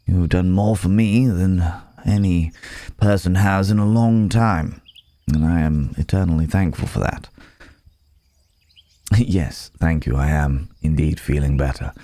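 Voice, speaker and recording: Gentle werewolf, played by a man, ASMR roleplay: very deep, slow, polite, hesitant, apologetic. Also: no echo. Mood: grateful, bashful. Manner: hesitant, halting, polite, soft chuckles, old-fashioned wording. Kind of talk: intimate ASMR audio roleplay monologue.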